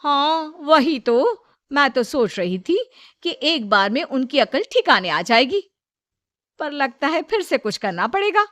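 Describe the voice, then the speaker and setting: A woman performs a resentful young daughter-in-law from a moral story cartoon. She schemes and protests in a high, indignant, exaggerated voice, recorded dry and close. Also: big pitch swings, unhurried, fairly bright tone, no echo, exaggerated voice. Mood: scheming. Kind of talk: Hindi moral story character acting.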